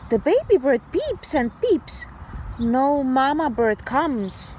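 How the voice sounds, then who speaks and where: A woman teacher reading a story aloud to young children in an animated, deliberate, child-directed way. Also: high-pitched, slight background noise. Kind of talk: expressive children's read-aloud.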